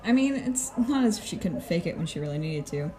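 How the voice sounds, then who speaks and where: A woman's voice: fairly low pitch, sing-song, fairly quick, mellow. A woman acting a sardonic, matter-of-fact superpowered team planner in an audio drama. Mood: wry, fond. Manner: casual, swearing, matter-of-fact. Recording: clear background noise.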